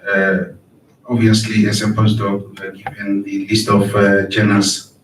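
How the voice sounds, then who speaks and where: Male postdoc questioner, low and flat, polite, distant echoey hall. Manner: polite, brisk. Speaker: postdoctoral researcher asking a question. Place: large auditorium. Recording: echoey hall from far off, faint noise.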